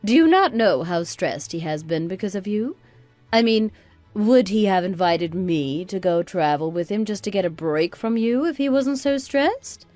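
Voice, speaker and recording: Casting: spiteful mother-in-law, early sixties, played by a woman, swooping pitch, condescending, radio drama, close dry sound over music. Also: animated, normal speed, a little mellow, no echo, lightly acted. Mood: smug, taunting. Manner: berating, condescending, dramatic exclamations. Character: spiteful, self-righteous 62-year-old mother-in-law, scolding. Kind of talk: scripted radio drama dialogue.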